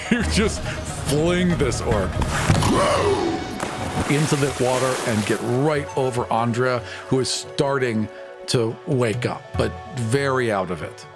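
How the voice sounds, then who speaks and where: Man, game master, descriptive narration, laughs often, middle pitch, loud background music. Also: slow pace.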